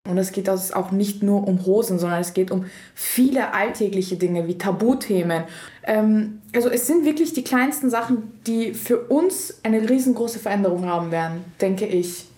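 A woman's voice: medium pitch, moderate pace, dark tone, echoey room. A woman in her twenties talking in an interview, thoughtful and searching for words, with restarts. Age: 20s. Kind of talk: casual interview talk.

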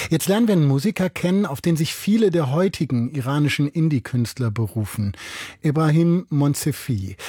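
A man introducing a radio culture segment as host, in a clear, inviting presenter read. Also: a little high, a little mellow, no room sound, studio quality.

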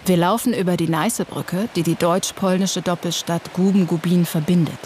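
A reporter reading scripted radio feature narration in measured, terse phrases. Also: mid-range voice, steady tone, darkish tone, a little hiss or hum.

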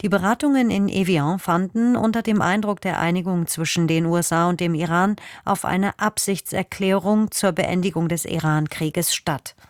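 Woman newsreader, lowish voice, dry studio sound, formal and measured. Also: dry recording. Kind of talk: scripted radio news reading. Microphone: studio microphone.

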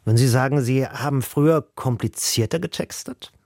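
A man hosts a music programme in a medium-pitched voice. He talks conversationally and offers his own views as he goes. The sound is very dry and close. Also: moderate pace, gravelly, darkish tone, no room sound. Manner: conversational, opinionated, thinking aloud. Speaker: radio music show host and interviewer. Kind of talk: radio interview with spoken introduction.

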